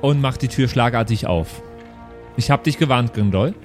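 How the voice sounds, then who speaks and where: A man's voice: medium pitch, normal speed, faint music behind. A man playing a tabletop role-playing game, casually saying what his character does. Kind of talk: casual live tabletop play, speaking in character.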